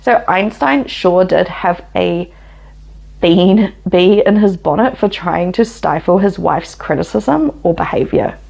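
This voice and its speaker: A woman's voice: lowish voice, expressive, measured pace, vocal fry, warm tone, husky, rough recording. A woman with a New Zealand accent, hosting her history channel and telling the story in an opinionated, chatty way with frequent laughs.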